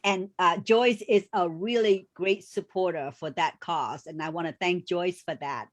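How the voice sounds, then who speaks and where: A woman co-hosting a casual video call chat, speaking with halting phrasing and many restarts. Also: lowish voice. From refugee background. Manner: warm, halting, frequent restarts and fillers. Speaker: co-host.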